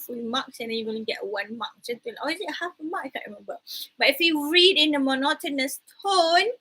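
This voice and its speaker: A woman's voice: high-pitched, sharp tone, slightly rough, rough recording. A Malaysian woman lecturer talking to a camera in a chatty way, slipping Malay words into her English. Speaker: university lecturer. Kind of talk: recorded online lecture. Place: at home, alone with a camera. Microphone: camera in front of her.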